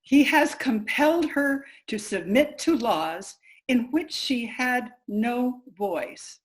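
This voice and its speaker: A woman's voice: a little high, animated, slow, rough recording. A woman playing a resolute nineteenth-century suffragist, reciting formally for reader's theater. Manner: formal, declaratory. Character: resolute 19th-century suffragist declaring women's grievances.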